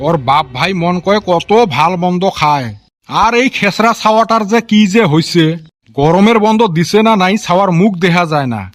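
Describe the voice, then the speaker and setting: A man's voice: sits high, expressive, moderate pace, fairly bright tone, faint music behind. A man with a northern Bangladeshi Rangpuri accent playing a grumbling, sarcastic, hard-up village tea seller in a comedy cartoon. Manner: complaining, sarcastic. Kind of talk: comedy cartoon voice acting in rural dialect.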